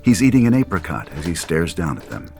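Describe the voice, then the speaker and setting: A man reading scripted dramatic stage directions as a narrator, in a deliberate storytelling manner. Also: sits low, very animated, a little mellow, soft background music.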